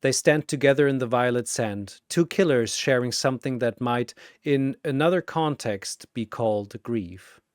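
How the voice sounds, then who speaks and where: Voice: mid-range voice, moderate pace, hardly any pauses, no echo, studio quality. A narrator reading a scripted battle story in a dramatic storytelling style.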